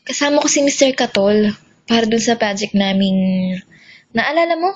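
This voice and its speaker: A woman's voice: fairly high pitch, normal speed, lo-fi sound, natural voice. A woman playing a fickle, sassy young girlfriend in an amateur radio drama, with a Filipino accent. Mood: breezy, affectionate.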